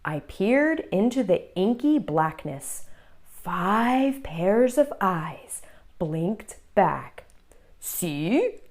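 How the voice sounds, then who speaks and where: A woman hosting a children's read-aloud, telling the story in an expressive, deliberate way for young listeners. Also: mid-range voice.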